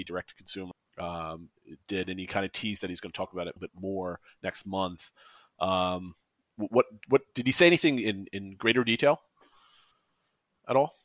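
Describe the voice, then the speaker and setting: A man co-hosting a casual podcast, talking in a relaxed way that drops into lower asides. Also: no echo. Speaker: podcast co-host.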